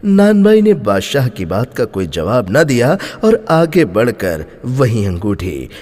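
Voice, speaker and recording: A man tells a scripted moral tale with emphatic expression. His pitch rises and falls freely, and his voice has a husky grain. Everything rings in a long, hall-like reverb. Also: sing-song, slow pace, a little mellow. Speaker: storyteller. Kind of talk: dramatic scripted moral story narration.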